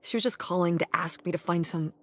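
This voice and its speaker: Middle-aged mother , played by a woman, game drama. Lowish pitch, weary, dry, natural delivery. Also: brisk, natural voice. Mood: dry, matter-of-fact. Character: tired, wry middle-aged mother of a teenager. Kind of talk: naturalistic video game voice acting.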